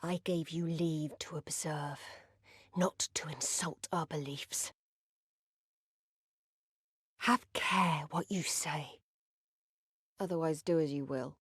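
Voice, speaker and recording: Slow, solemn warrior tribeswoman. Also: deep voice, fairly even intonation, slightly rough, dry recording, studio quality, exaggerated voice. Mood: cold warning. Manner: solemn, authoritative, archaic diction. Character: stern, proud steppe tribeswoman, a commanding warrior leader. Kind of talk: dramatic video game voice acting.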